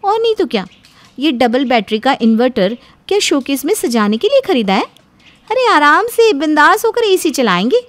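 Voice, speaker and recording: A woman voicing a cheeky, outspoken younger daughter-in-law in an animated family story, with a sassy, complaining manner. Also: sits high, moderate pace, no room sound, voice pushed up. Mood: breezy, cheeky.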